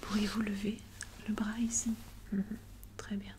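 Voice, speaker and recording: A woman playing a calm, attentive doctor in an ASMR medical roleplay, whispering gently and methodically. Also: medium pitch, measured pace, a little hiss or hum, lightly acted. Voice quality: breathy whisper. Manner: gentle, methodical, polite and formal. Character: calm, attentive female doctor examining a patient.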